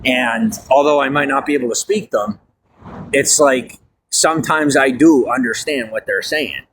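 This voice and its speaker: A man's voice: mid-range voice, normal speed, a bit bright, slight background noise, low-quality recording. An American man with a slight Norwegian-tinged accent, rambling casually with many fillers and restarts. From United States. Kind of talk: casual monologue.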